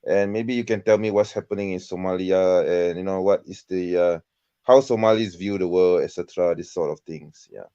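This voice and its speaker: A low-pitched man with a Malaysian accent calls in over a dull, lo-fi connection. He asks casual questions in a hesitant way, often repeating his phrases. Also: normal speed, warm tone, clean tone. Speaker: caller. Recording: dull lo-fi call.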